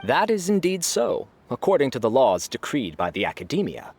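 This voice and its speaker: A man voicing an impartial arbiter who rules on a debate in a measured, formal way. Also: mid-range voice, huge pitch swings, a little mellow, faint music behind. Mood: neutral. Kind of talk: game voice acting.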